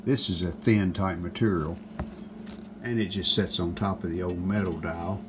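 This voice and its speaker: A man narrating a hands-on restoration how-to in a casual, plainspoken way, often pausing mid-sentence. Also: sits low, fairly even intonation, noisy background. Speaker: host of a restoration how-to video.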